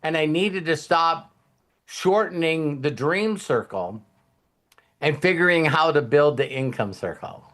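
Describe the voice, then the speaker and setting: Rambling, folksy man with a higher-pitched voice. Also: lively intonation, unhurried, fairly bright tone. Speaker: host of a motivational team talk. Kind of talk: casual motivational talk.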